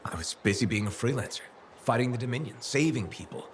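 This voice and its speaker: An actor voicing an easygoing adventurer and dutiful son in a video game, casual and reassuring. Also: male voice, medium pitch, animated, fast, a little hiss or hum, natural voice.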